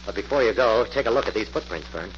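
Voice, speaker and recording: An actor playing a brisk, skeptical investigator who takes charge in a 1940s radio mystery, clipped and authoritative. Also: male voice, lowish voice, lively intonation, close to an everyday voice. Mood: brisk, decisive. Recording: muffled, very noisy old-time radio recording.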